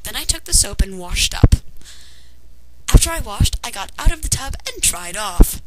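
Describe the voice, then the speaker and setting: A woman's voice: a little high, big pitch swings, normal speed. A woman reading a fan fiction story aloud as narrator, in a dramatized way. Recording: noisy amateur home recording.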